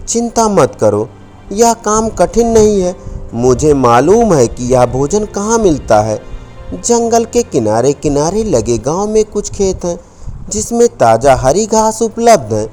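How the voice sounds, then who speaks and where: Sly male jackal played by a man for a fable, ingratiating, slow, with animated pitch. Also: faint music behind. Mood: coaxing. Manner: coaxing, ingratiating. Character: sly flattering male jackal feigning lonely friendship.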